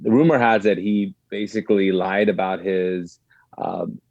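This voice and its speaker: A man's voice: deep voice, fairly even intonation, unhurried, darkish tone, no room sound, dull audio. A middle-aged man from Kuwait, a podcast guest telling personal stories conversationally, with asides and self-corrections.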